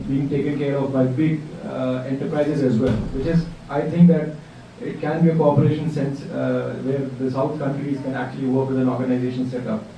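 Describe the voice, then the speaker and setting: A man from India speaks up in a group discussion, halting and full of fillers. His voice is dark and mellow, picked up from a distance in a very echoey room with faint background noise. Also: mid-range voice, vocal fry. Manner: hesitant, many fillers and restarts. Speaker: workshop participant. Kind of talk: spoken contribution in a group discussion.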